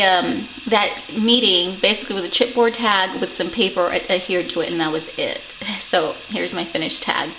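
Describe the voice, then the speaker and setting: A woman with a croaky voice from a sore throat, chatting casually as she shows a handmade craft project, rambling with plenty of ums and self-corrections. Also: mid-range voice, pushed and bright. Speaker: crafting channel host. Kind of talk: casual craft show-and-tell. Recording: dull lo-fi home recording with noticeable background hiss.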